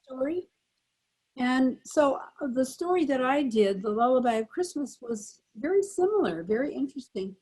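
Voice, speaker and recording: A woman in her eighties with a husky, slightly rough voice reminisces as a panel guest. Her talk is casual and conversational. The recording is dry, with little room sound. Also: high-pitched, animated, normal speed, a little mellow, no echo, poor audio. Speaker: panel guest, former child radio actress.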